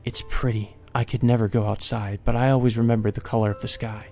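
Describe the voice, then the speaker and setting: A gentle, smiling young maid read by a man in his low voice, soft and wistful. She sounds resigned as she fades, with visual novel music behind. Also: little pitch movement, quick pace, vocal fry. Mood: wistful, fading.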